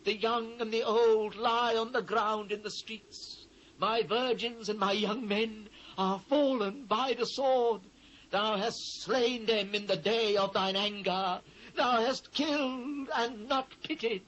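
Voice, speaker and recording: A British actor playing an anguished biblical prophet, giving a solemn, declamatory, theatrical scripture reading on an old cassette transfer. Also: male voice, sits high, a bit bright, lo-fi sound, a little hiss or hum. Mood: impassioned grief. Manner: solemn, declamatory, measured phrasing. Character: anguished biblical prophet lamenting a fallen city.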